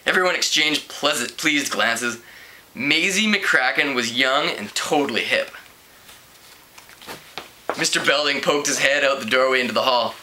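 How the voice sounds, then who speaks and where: A man reading a teen novel aloud in an animated way, now and then stumbling over words. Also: medium pitch, measured pace, long pauses, slight background noise. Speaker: amateur book reader.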